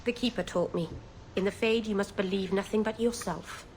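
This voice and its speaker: A woman voicing an earnest, soft-hearted young elven mage full of remorse in a video game. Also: medium pitch, fairly even intonation, normal speed, heavy background noise, close to an everyday voice. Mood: rueful, earnest. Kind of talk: video game character dialogue.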